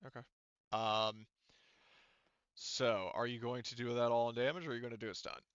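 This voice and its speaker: Bright, clear-voiced man as game master. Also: medium pitch, level tone, moderate pace, no room sound, muffled recording. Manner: explanatory, thinking aloud. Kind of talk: casual game-mastering of an online tabletop session.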